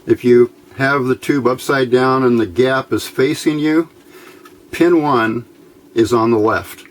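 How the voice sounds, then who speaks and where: Male hobbyist instructor, casual and very unhurried step-by-step delivery, faint noise behind the voice. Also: mid-range voice. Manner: unhurried, thinking aloud. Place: indoors at a workbench by a window.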